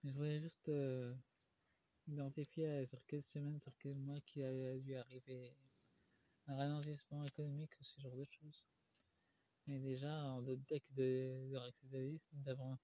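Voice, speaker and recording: A man with a cold, doing a solo tarot card reading, slowed by many pauses and fillers. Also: medium pitch, level tone, warm tone. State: stuffy with a cold. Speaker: tarot reader. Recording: dull lo-fi recording.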